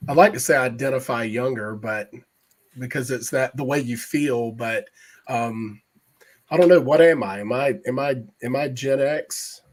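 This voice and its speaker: Middle-aged man, podcast guest, slightly hoarse, easygoing, halting speech. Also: mid-range voice. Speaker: panel guest. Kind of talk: casual chat.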